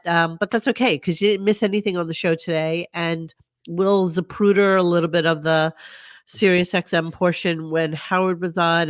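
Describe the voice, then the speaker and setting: A man's voice: on the high side, smooth, no echo, studio quality. A man hosting a casual livestream commentary, sick and congested, with a nasal voice and a sarcastic, grumbling manner. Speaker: podcast host.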